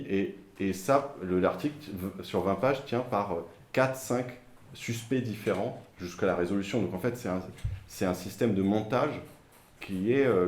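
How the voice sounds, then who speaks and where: A man speaking on a round-table panel, hesitant and halting with many fillers and restarts. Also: deep voice. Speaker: author on a panel. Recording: echoey room, faint ambience.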